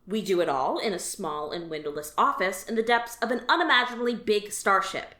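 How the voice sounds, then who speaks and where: A woman's voice: medium pitch, fairly quick, pushed and bright, close to an everyday voice. A woman voicing a jaded female alien office worker who talks about dull work in a dry, resigned, matter-of-fact way. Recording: roomy echo.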